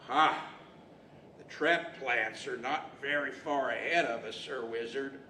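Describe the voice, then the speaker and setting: Guy playing a good-natured tired traveler, radio drama, slow, echoey hall far from mic. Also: medium pitch, bright, forceful tone. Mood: weary. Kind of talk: 1940s radio-style stage acting. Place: large indoor library event hall, live stage. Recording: echoey hall, distant mic, faint noise.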